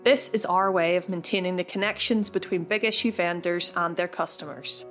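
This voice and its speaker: A woman hosting a podcast, reading scripted narration in a measured way. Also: fairly low pitch, fairly even intonation. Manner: measured, read-aloud delivery. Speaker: podcast host. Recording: soft music underneath.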